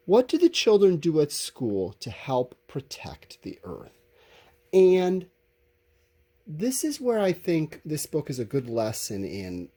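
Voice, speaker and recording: Mellow, slightly husky male teacher, animated delivery. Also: on the high side. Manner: conversational, explanatory, candidly opinionated. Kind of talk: informal recorded lesson, talking to students.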